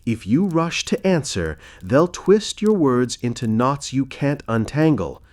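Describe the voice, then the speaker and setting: A man's voice: mid-range voice, unhurried, close to an everyday voice. Casting: male narrator as a farmer's witty daughter, unflinching, composed, lightly teasing, for a fairy tale. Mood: calm. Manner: steady, quiet authority. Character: clever, calm young farmer's daughter, playfully sharp.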